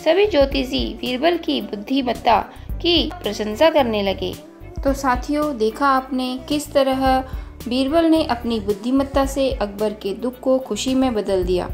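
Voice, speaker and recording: Higher-voiced woman narrating a story over music. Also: measured pace, creaky. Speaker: storyteller and channel host. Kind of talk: scripted children's story narration. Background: background music.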